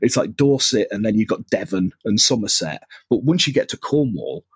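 A man's voice: on the low side, expressive, normal speed, slightly rough, no room sound. A man with a Mancunian accent, a podcast guest chatting casually with lots of fillers, restarts and laughs. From northwest England. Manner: rambling, laughs often, many fillers and restarts. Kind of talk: casual chat.

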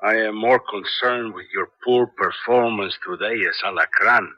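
Deep, raspy, stern Latin colonel. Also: male voice, bright, forceful tone. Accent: Spanish. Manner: measured, commanding. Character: stern, scheming male army colonel. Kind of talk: 1970s radio drama acting. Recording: dull lo-fi old radio broadcast.

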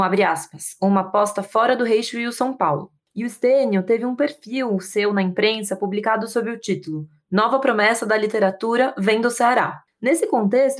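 A woman moderating an interview, introducing guests and posing a question in a measured, prepared way. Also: a little high.

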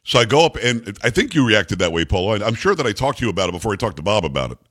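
Booming deep-voiced male radio host. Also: big pitch swings, racing, husky, dry recording. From New York. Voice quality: deep bass voice. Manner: loud, upbeat, calls to the studio crew. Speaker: radio talk show host. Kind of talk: energetic talk radio monologue.

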